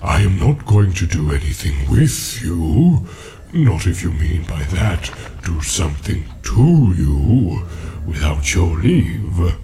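An ancient tree-giant, deep and rumbling,. He drags out every word at a slow, unhurried pace, humming and pausing as he muses. His pitch rolls widely from low rumbles to sudden high exclaims. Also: sing-song, dark tone, slight background noise, exaggerated voice. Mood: musing. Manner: slow, musing, full of hums and pauses. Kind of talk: audiobook character reading.

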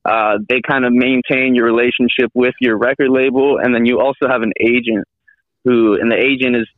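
Twenties male guest, halting and rambly, sounds like he's dialed in over a tinny phone line. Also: mid-range voice, flat delivery, dry recording. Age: 20s. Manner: rambling, halting, with many restarts and fillers. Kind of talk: casual podcast chat.

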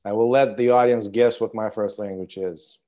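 A man hosting an interview, a non-native English speaker whose first language uses the Cyrillic alphabet, asking questions in a warm, encouraging way. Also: on the low side, fairly even intonation, moderate pace. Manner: warm, encouraging, laughs along. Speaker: interview host.

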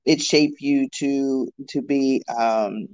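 A man speaks thoughtfully in a casual conversation, hesitating often and laughing easily. His pitch is ordinary, and the sound is a little muffled and lo-fi. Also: slow, a little mellow.

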